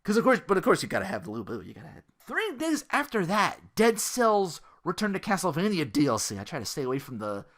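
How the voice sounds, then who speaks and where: A man's voice: on the high side, wildly swooping pitch, a little fast, few pauses, a bit bright. A man hosting a gaming podcast in a casual, rambling, slangy monologue, often acting out imagined quotes. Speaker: podcast host.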